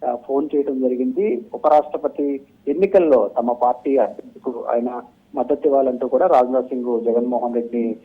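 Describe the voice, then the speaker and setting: A male news correspondent, giving a halting, repetitive live report over a phone line. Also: mid-range voice, breathy edge. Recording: dull, narrow phone-in line.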